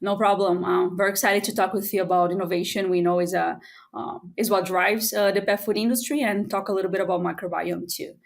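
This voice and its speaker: A woman's voice: medium pitch, fairly even intonation, fairly quick, gravelly. A woman hosting an interview podcast in a conversational style. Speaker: podcast host.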